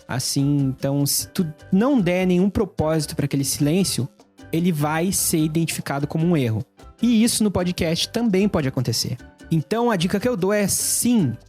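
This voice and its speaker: Informal Brazilian tutorial host, mid-pitched voice. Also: darkish tone. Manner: informal, conversational. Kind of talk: casual explainer to camera.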